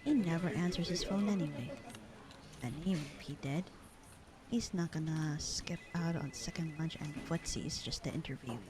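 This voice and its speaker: A woman playing a bored, sarcastic, slightly flirty secretary in a casual roleplay audio drama, with a deadpan, slangy delivery. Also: deep voice, normal speed, crowd noise, natural voice. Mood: bored, indifferent. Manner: deadpan, slangy, unbothered drawl.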